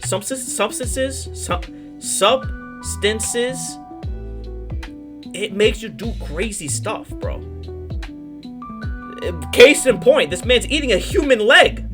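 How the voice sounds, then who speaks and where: A reaction video host talking casually in slang, repeating phrases for emphasis. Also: medium pitch.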